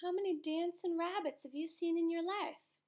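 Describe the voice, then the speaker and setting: A woman's voice: very high voice, fairly even intonation, normal speed, pitched above the performer's own voice. A grieving boy with a drawl, as a woman voices him. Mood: earnest. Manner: pleading, then yelling in raw anguish. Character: grieving poor young boy, fierce and stubborn.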